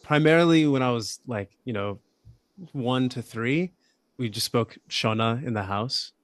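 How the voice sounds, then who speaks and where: A young man from Australia, a podcast guest, talking in a relaxed, thoughtful way with lots of fillers and hedges. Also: medium pitch, measured pace, no echo. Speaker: podcast guest, app founder. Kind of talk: casual interview conversation.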